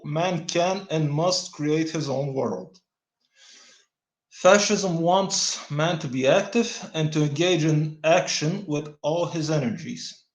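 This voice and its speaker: A man whose first language is Bulgarian reads a dense text aloud in a slightly high voice, halting and restarting words as he goes. He breaks off now and then with deadpan, wry remarks. The recording sounds roomy and echoey. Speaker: channel host reading a text aloud. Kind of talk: halting read-aloud with dry asides.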